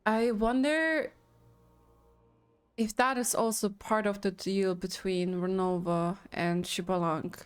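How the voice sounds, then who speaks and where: A woman's voice: medium pitch, steady tone, slow pace, clear, resonant tone. A woman giving casual, musing reaction commentary as she plays through a game story. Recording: faint game music behind.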